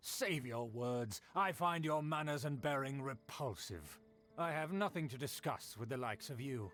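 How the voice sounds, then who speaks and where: A man's voice: mid-range voice, lively intonation, measured pace, fairly bright tone, faint music behind. An actor voicing a haughty aristocratic nobleman in a video game, pompous and condescending as he lectures commoners on manners.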